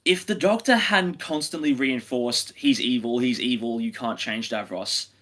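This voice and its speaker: A man with a bright, forward voice chats informally as a podcast co-host. He works through his points haltingly, thinking out loud in an analytical review discussion. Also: mid-range voice. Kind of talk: casual review chat.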